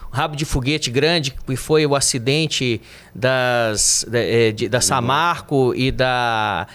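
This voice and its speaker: A man hosting a podcast, opening the episode and asking the guest questions in a friendly, sometimes halting way. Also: mid-range voice, a bit bright, clear, resonant tone. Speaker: podcast host. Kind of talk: podcast introduction and interview questions.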